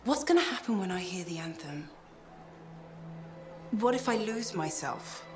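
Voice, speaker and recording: A woman's voice: medium pitch, lively intonation, measured pace, lightly acted. A woman playing an earnest, slightly anxious tech ally in a cinematic video game. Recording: music behind.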